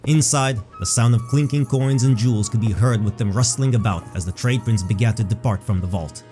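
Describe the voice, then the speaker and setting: A man narrating a scripted fantasy story in a measured, dramatic storytelling manner. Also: on the low side, dark tone, faint music behind.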